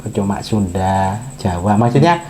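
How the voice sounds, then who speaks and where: Male host with a warm, dark voice and an inquisitive interview manner. Faint background noise. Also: lowish voice, animated, a little slow. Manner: curious, asks follow-up questions, frequent short acknowledgements. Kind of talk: casual chat.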